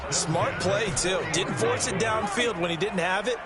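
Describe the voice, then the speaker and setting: High-pitched American football analyst man. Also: measured pace. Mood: conversational. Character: male American football color analyst, conversational and explanatory. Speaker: color commentator in a football video game. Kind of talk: sports analysis commentary. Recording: loud game music behind.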